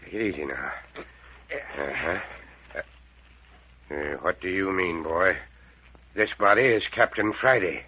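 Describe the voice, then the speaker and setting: Husky, grumbling old doctor. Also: male voice, low voice, slow, pauses often, exaggerated voice. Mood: slow, puzzled. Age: older. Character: grumbling, puffing old male doctor roused at night. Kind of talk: old-time radio mystery serial acting. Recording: dull, band-limited old radio recording.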